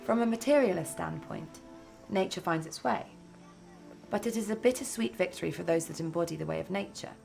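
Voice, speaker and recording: A woman narrates a scripted video essay in a fairly low voice with noticeable vocal fry. She reads as a narrator, with clear music playing behind her. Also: a little fast, a little mellow.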